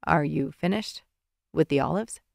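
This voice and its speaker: A woman voicing an easygoing, puzzled young man, dropping her voice lower and softer. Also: normal speed, vocal fry, dry recording, studio quality.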